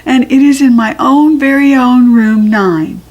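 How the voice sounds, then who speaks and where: A woman voicing an excitable, brash little girl from a children's storybook, speaking in emphatic, childlike bursts with mangled grammar. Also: high-pitched, a little slow, lo-fi sound, pitched above the performer's own voice. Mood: pleading, chatty. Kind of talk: children's storybook character reading.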